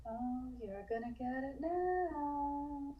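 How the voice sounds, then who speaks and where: A woman's voice: high voice, expressive, slow, roomy sound, phone-quality sound. A woman acting a guarded, terse character in an online horror drama reading. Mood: taunting.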